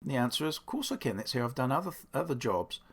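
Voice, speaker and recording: Croaky man explaining in his workshop. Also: medium pitch, big pitch swings, fast talker, fairly bright tone. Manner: rambling, self-correcting, explains step by step. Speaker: woodworking channel host. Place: home workshop.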